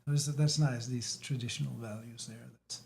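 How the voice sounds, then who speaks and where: A man from Denmark, speaking English as a second language, talks through his thoughts in slow pieces, starting over often as he searches for the right word. His pitch sits in an ordinary middle range. A faint ambient hum runs under the recording. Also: steady tone, creaky, darkish tone. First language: Danish. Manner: halting, frequent restarts, searching for words. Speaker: guest. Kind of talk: casual chat.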